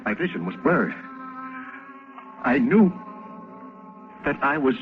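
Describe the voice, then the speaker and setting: An actor playing a desperate, obsessive poisoner in a melodramatic, intense old radio drama style. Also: male voice, mid-range voice, very animated, takes it slowly. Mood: frantic, despairing. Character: desperate, obsessive man secretly poisoning a woman, confessing. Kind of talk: 1940s radio drama acting, first-person narration. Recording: tinny, band-limited vintage radio, music behind.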